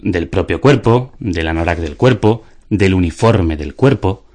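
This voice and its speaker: Absurd philosopher for radio theatre, male actor: low register, deadpan and pedantic delivery. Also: unhurried. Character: deadpan absurd philosopher musing over news headlines.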